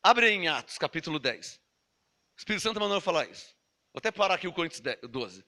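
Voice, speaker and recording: A man's voice: fairly high pitch, big pitch swings, fast, pushed and bright. A Brazilian man with a Brazilian Portuguese accent preaching a sermon, emphatic and scolding, throwing rhetorical questions at his listeners. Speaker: pastor.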